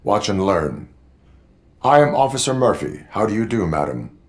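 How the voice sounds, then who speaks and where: Deep, deadpan cyborg police officer. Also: male voice. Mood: stern, instructive. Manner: formal, measured, deadpan. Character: stern, by-the-book cyborg police officer, male, instructing a rookie. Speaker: police field training officer. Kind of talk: video game voice acting.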